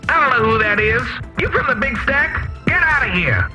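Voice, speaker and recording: An actor playing a gruff, folksy wasteland outcast in a video game, blunt and rough in grammar, on slightly dull audio. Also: male voice, very high voice, big pitch swings, moderate pace, sharp tone, soft background music, exaggerated voice. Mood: hostile, suspicious. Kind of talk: character acting in a video game.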